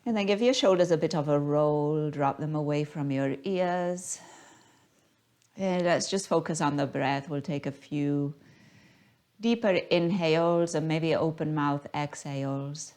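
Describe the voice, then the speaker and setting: A woman guiding a yoga class as the teacher, speaking in a gentle, unhurried, soothing manner. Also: deep voice. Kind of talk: guided yoga class instruction.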